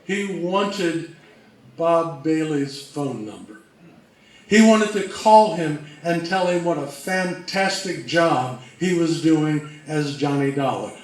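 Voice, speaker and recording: A man presenting an informal history talk in a deliberate, pausing way, from a distance in a very echoey room. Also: fairly high pitch.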